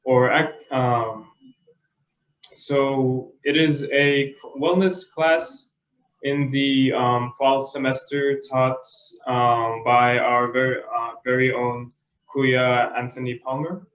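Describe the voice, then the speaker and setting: Hesitant club host in an echoey room. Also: male voice, mid-range voice, flat delivery, slow pace, a bit bright. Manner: hesitant, many fillers. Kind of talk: moderating a Q&A. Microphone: video call.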